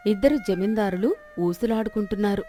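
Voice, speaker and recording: A woman's voice: medium pitch, fairly even intonation, fairly quick, warm tone, faint music behind. A woman narrating a scripted moral story.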